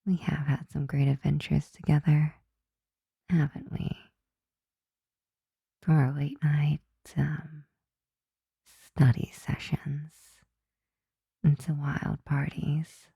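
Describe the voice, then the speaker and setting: A woman playing a flirty, softly dominant, affectionate vampire roommate in an intimate ASMR roleplay, breathy and often whispering, teasing and tender. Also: sits low, little pitch movement, slow, long pauses, no echo, studio quality, heavily acted. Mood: fond, gently teasing.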